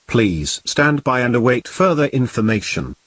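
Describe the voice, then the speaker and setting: A man playing a calm, grave emergency broadcast announcer, reading in a formal, measured and solemn way. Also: medium pitch. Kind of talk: scripted emergency broadcast narration.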